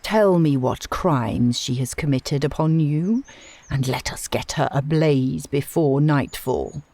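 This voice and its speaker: A woman's voice: sits low, takes it slowly, mellow, no room sound, exaggerated voice. A woman voicing an arrogant, zealous male witch-finder in a storybook reading, with pompous, declamatory delivery. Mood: fanatical, stern.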